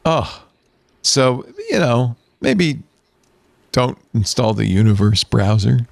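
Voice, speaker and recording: A man's voice: medium pitch, animated, takes it slowly, vocal fry, dry recording. A man hosting a tech podcast, reading out news items with wry asides. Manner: wry, reads out articles with asides. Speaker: podcast host. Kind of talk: casual chat.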